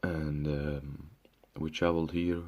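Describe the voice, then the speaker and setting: A man's voice: very deep voice, level tone, slow, a little mellow. A young man from Syria speaking in a casual ranting monologue, restarting often, swearing and calling the listener "bro". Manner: frequent restarts and fillers, swears, says "bro". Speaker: vlogger.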